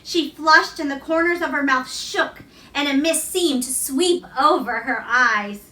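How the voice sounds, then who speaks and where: A woman reading a children's novel aloud as expressive storybook narration. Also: high-pitched, unhurried. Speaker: storybook reader. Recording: roomy echo, distant microphone.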